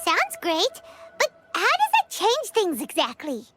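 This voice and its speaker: A woman voicing a tiny, excitable floating fairy companion in a video game, with a bubbly, squeaky voice. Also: unhurried, soft background music, exaggerated voice. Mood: excited.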